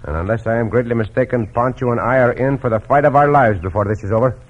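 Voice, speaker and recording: An actor playing a shrewd Mexican cowboy hero, speaking formal accented English with authority in a radio Western. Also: male voice, medium pitch, fast talker, close to an everyday voice. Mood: commanding, cautious. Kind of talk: old-time radio Western acting. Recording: muffled, slightly rough old radio recording.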